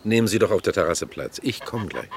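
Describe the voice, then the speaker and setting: An actor playing a bigoted, resentful IT worker in a radio crime drama, ranting in a smug, condescending way. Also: male voice, low voice, animated, a little fast, very noisy. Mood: smug, complaining. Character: bigoted, resentful male IT worker under police questioning. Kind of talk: naturalistic German radio crime drama acting.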